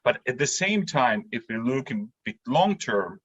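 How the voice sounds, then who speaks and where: A man's voice: medium pitch, big pitch swings, unhurried, fairly bright tone, breathy edge, muffled recording. A man, giving explanatory answers as a podcast guest. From Latvia. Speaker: podcast guest, industry consultant. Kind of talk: interview answers, explanatory talk.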